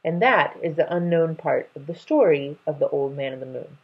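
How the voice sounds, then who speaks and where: A young woman reads a story aloud in a low, husky voice. Her delivery is lively and expressive. The sound is a little dull, like a webcam in a room at home. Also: moderate pace. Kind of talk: expressive reading of a children's novel.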